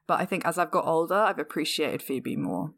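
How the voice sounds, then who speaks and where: A woman in her thirties with a slightly croaky voice, co-hosting a podcast in casual, chatty conversation and laughing often. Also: low voice, a little fast. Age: 30s. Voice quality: croaky, slightly sore throat. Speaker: podcast co-host. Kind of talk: casual chat.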